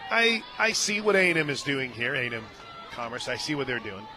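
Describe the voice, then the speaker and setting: A man giving conversational analysis as color commentator on a live softball radio broadcast. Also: medium pitch, big pitch swings, fairly quick, crowd noise. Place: stadium radio booth.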